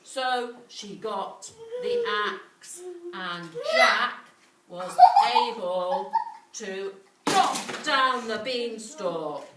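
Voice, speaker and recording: A woman telling a children's story in an animated way, with repetition, dramatic pauses and questions to her listeners. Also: on the high side. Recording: roomy, distant mic, clearly noisy.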